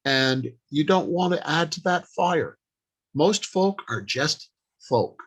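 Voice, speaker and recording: Guy teaching a writing workshop, kinda higher voice, really slow and deliberate, punchy short phrases w pauses. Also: animated, fairly bright tone, slightly rough. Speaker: workshop speaker. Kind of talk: instructional talk on writing.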